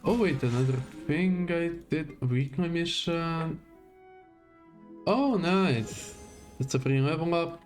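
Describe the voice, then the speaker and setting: A man's voice: a little high, takes it slowly, darkish tone, soft background music. A man giving casual live gameplay commentary, muttering to himself in short fragments. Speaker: game livestreamer.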